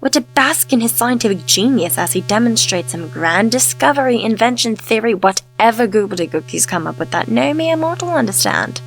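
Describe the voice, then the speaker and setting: A woman playing a quick-witted, teasing female companion in an audio drama, with a sardonic way of talking. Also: on the high side, animated, creaky, faint music behind, poor audio.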